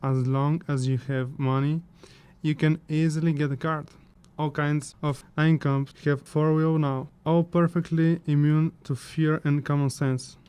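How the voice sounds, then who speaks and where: A man's voice: fairly high pitch, flat delivery, measured pace, warm tone, clean tone, lightly acted. A man playing a brooding, sardonic chimera wanderer in a fantasy audio drama, grumbling his way through monologues.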